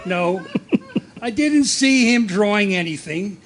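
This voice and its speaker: A man playing a deadpan police lieutenant in a live comic radio play. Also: sits high, slow.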